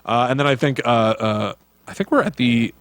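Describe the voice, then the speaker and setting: A man co-hosting a podcast, recounting films in casual chat with halting delivery and slangy, emphatic asides. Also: mid-range voice, lively intonation, dry recording. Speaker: podcast co-host.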